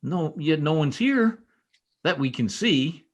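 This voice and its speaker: An actor playing an earnest, insistent young police trooper in an old-time radio drama, speaking with urgent emphasis. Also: male voice, fairly high pitch, lively intonation, slow pace.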